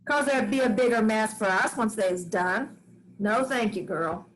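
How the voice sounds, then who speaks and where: A woman's voice: mid-range voice, moderate pace, a little hiss or hum, lightly acted, rough recording. A woman reading a wry old farm widow. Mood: dry. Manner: dry, wry, plainspoken rural talk. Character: Grams, weary old farm widow, dry and stubborn. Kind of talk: staged play reading.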